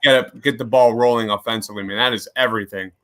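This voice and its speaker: A man's voice: mid-range voice, fairly even intonation, fast, fairly bright tone. A young man co-hosting a live sports podcast, reading viewer comments aloud and cheering on his team. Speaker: podcast co-host. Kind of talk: live game reaction chat.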